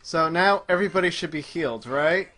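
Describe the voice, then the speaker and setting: Bright-voiced man chatting while gaming. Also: fairly high pitch, a little fast, soft background music. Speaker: gaming show host playing a video game. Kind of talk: casual chat, live game commentary. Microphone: microphone on a group internet voice call.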